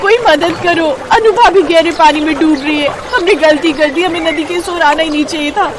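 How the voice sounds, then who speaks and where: A woman's voice: extremely high pitch, moderate pace, background noise, pitched above the performer's own voice. A woman voicing a rich woman's snobbish friend, clannish and eager to go along, in a moral cartoon story. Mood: panicked.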